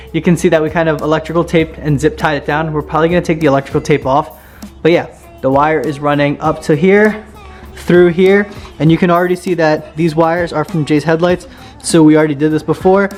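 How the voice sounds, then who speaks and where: High-voiced young man, excited car vlogger. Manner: excited, slangy, says dude a lot. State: voice getting hoarse. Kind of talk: casual car install vlog. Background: background music.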